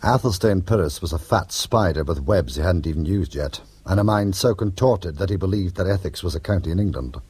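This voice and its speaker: An actor playing a wry, cool-headed criminal courier in a radio drama, speaking in a conversational way. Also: male voice, low-pitched, brisk, hardly any pauses, darkish tone, husky, dry recording. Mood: wry narration. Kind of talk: radio drama acting, anecdotal dialogue.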